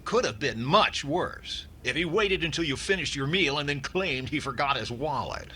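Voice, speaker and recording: Pompous droll male inspector acted by a man, highish animated voice, questioning, old radio comedy, loud noise. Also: normal speed, close to an everyday voice. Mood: smug, droll. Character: pompous, droll police inspector, quizzing witnesses. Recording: very noisy recording.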